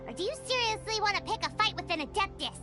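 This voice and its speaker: A woman voicing a tiny childlike fairy in an anime-style video game, squeaky, excitable, boastful and quick to take offence. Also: loud music behind the voice. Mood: startled, indignant. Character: tiny childlike floating fairy companion, cheeky and indignant.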